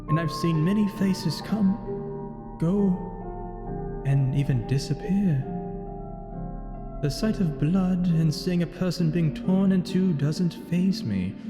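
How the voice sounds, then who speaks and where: A man voicing a lonely, brooding thousand-year-old vampire who narrates his tale in solemn, formal, theatrical phrasing. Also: fairly high pitch. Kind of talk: scripted in-character story narration over gameplay. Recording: echoing hall-like reverb, music behind. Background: music.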